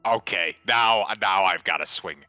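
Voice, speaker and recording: A man's voice: low voice, unhurried, sharp tone, no room sound, highs cut off, soft background music. A man playing a tabletop game, brash and combative, arguing in character and joking. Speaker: player.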